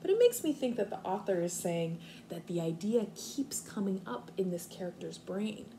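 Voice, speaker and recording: A young woman, a kindergarten teacher, reading aloud expressively and explaining things simply and deliberately to small children. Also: mid-range voice, sing-song, vocal fry. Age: young adult. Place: living room at home, indoors. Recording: faint room noise, home video.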